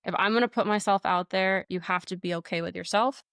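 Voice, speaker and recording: A woman's voice: medium pitch, fairly quick, a bit bright, smooth, no echo, studio quality. A young woman, a flight nurse, talking candidly as a podcast guest, laughing often and using many fillers. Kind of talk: casual interview talk.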